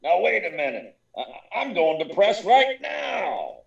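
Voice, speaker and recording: A man playing a blustery, excitable newspaper editor with a gravelly voice and sputtering, halting speech, in 1940s radio style. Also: high voice, recorded from a distance, muffled recording, full character voice. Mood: startled, impatient. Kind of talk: 1940s radio drama acting. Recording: roomy echo, off the mic.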